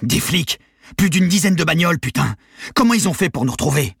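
A man voicing a deranged, desperate gang leader who shouts and barks orders in an audiobook thriller. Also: on the high side, lively intonation, a little fast, gravelly, husky, dry recording, studio quality, voice pushed up, full character voice. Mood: alarmed.

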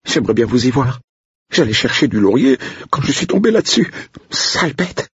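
A man's voice: big pitch swings, normal speed, breathy edge, no room sound, muffled recording. A man reading an audiobook, voicing a frightened, tearful woman cook who whimpers and shrieks. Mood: distraught. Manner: shrill, whimpering, panicky.

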